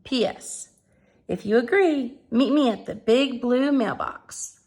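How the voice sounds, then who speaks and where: A woman's voice: on the high side, slow pace, a little mellow. A woman voicing a kind, hopeful female mail carrier, earnest and affectionate, in a children's read-aloud.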